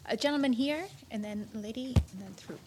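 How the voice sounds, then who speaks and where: Brisk woman moderator in a noisy room. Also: on the high side. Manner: brisk, directs the floor. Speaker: panel moderator.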